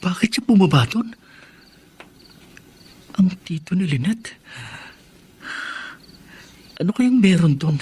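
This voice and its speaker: An actor playing a curious, adventurous young city man in a Filipino radio drama, with an earnest, expressive delivery. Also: sits high, takes it slowly, long pauses, slightly rough, full character voice. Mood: hushed curiosity.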